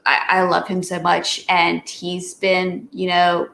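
Woman podcast guest, unhurried and hesitant, lots of ums, sounds like she's in an echoey room. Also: lowish voice, completely flat delivery, creaky, fairly bright tone. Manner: hesitant, lots of fillers and restarts. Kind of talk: casual podcast chat. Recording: roomy echo.

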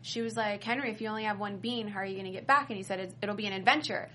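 A woman's voice: mid-range voice, fairly even intonation, very fast, sharp tone, a little hiss or hum. A woman on an after-show panel, casually explaining and retelling scenes in a conversational way. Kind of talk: casual fan discussion.

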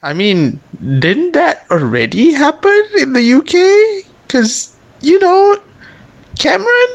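A man co-hosting a podcast in casual chat, animated and laughing often. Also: extremely high pitch, takes it slowly, vocal fry. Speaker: podcast co-host.

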